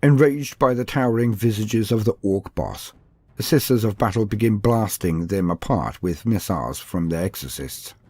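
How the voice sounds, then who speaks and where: A man's voice: lowish voice, lively intonation, moderate pace, mellow, slightly rough. A man reading a scripted, dramatic narration of fantasy war lore as a storyteller.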